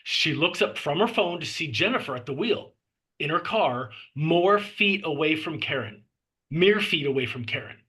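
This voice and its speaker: A man's voice: a little high, lively intonation, moderate pace, pushed and bright, rough recording. A man reading screenplay action lines and scene headings as narrator at a table read. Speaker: stage directions reader.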